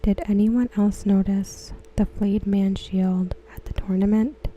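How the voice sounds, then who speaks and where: Female ASMR reader: very dark, soft breathy near-whisper, even gentle delivery, some background noise. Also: medium pitch. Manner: hushed, gentle, unhurried delivery. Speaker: ASMR creator. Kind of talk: soft-spoken ASMR read-aloud of written comments.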